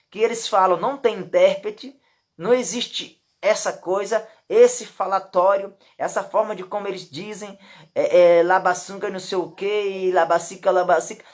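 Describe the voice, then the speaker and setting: A Brazilian woman with a Brazilian Portuguese accent, teaching from the Bible. She reads the verses slowly, repeats her points emphatically and speaks straight to the listener. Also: mid-range voice. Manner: emphatic and repetitive, addresses the listener directly. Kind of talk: Bible teaching with slow scripture reading.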